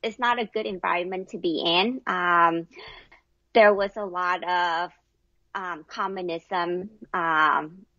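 A woman's voice: on the low side, steady tone, takes it slowly, pushed and bright, no echo, lo-fi sound. A middle-aged woman from Vietnam, answering casually as an interview guest. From Vietnam, moved to the US at eleven.

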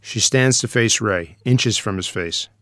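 A man's voice: on the low side, unhurried, a bit bright, dry recording, clean studio sound. A man reading stage directions as scripted narration for a screenplay.

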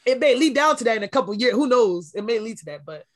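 A woman with a deep voice, co-hosting a podcast and talking candidly in casual chat, with animated storytelling. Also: quick pace. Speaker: podcast co-host.

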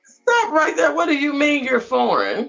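A woman's voice: high voice, huge pitch swings, normal speed, gravelly, fairly bright tone, highs cut off, faint music behind. A woman hosting a casual interview, asking questions in an informal, emphatic way. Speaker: interviewer, host.

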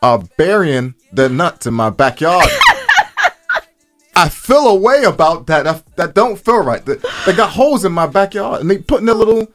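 A man's voice: high-pitched, very animated, moderate pace, fairly bright tone, soft background music. A man co-hosting a podcast with casual, colloquial, joking and playfully defensive banter. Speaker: podcast co-host.

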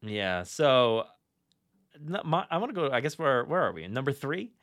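A man's voice: medium pitch, big pitch swings, moderate pace, fairly bright tone, no room sound, studio quality. A man hosting a podcast in casual fan chat, enthusiastic but often restarting and repeating his phrases. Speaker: podcast host.

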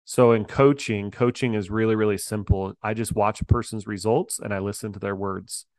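A man's voice: on the low side, fairly even intonation, normal speed, darkish tone, no room sound. A man speaking as a coach in an interview, emphatic and upbeat in a motivational way. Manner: emphatic, upbeat, acts out quoted voices. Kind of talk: interview guest, motivational talk.

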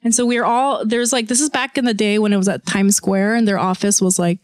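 A woman telling a story in casual podcast chat, animated and profane, acting out what people said. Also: medium pitch, fairly quick, dry recording, clean studio sound. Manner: animated, profane, acts out quotes.

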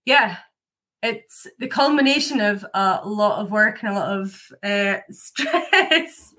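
A woman's voice: medium pitch, expressive, slow, sharp tone. A woman from Scotland, now a whiskey maker, chatting in an interview over a video call, full of "like" fillers and laughter. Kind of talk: casual interview chat.

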